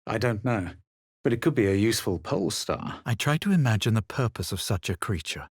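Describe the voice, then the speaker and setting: Fantasy game hero: self-assured, brooding spellcaster, husky and expressive, measured theatrical delivery, dry close sound. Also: male voice, fairly low pitch, no room sound. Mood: wary, brooding. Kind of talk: fantasy video game voice lines, combat barks and narrated recollections.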